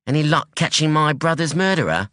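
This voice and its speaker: A man voicing a sharp, defiant young woman who talks bluntly and insistently, in an audiobook. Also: fairly high pitch, lively intonation, a little fast, dry recording, studio quality, pitched above the performer's own voice. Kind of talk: audiobook dialogue.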